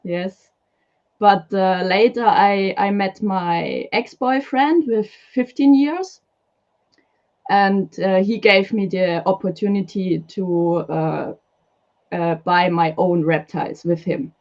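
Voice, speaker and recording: Swiss german woman on a video call, talks slow, lots of ums, muffled sound. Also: medium pitch, a little mellow. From Switzerland. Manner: hesitant, many fillers, apologetic about her English. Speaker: reptile keeper guest. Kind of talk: live stream interview.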